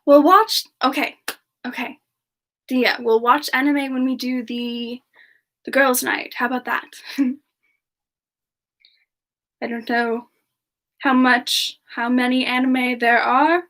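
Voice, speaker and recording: A woman playing a sweet, affectionate young female character in soft semi-ASMR chat, gently answering listeners with endearments. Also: high voice, flat delivery, takes it slowly, pauses often, heavily acted. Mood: sweet, gentle. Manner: gentle, affectionate, addresses listeners as dear and love. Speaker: streamer answering chat and singing requests.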